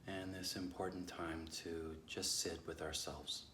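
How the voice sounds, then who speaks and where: A man leading a guided meditation in a measured, unhurried way with long pauses between phrases. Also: low-pitched, steady tone, bright, forceful tone, roomy sound, a little hiss or hum. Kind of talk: guided meditation, slow instruction.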